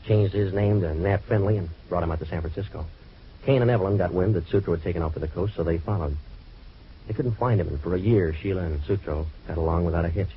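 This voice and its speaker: Deep-voiced hard-boiled private eye played by a man. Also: lightly acted. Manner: terse, deadpan wisecracking. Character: wry, hard-boiled male private eye telling his case. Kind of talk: old-time radio detective drama narration. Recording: dull, noisy old radio recording.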